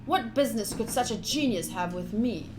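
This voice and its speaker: A woman streaming a game, reading story text aloud in an expressive, chatty way with casual asides. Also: a little high, moderate pace, low-quality recording. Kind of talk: live visual novel playthrough, reading aloud with casual asides. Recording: soft music behind voice. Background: game music.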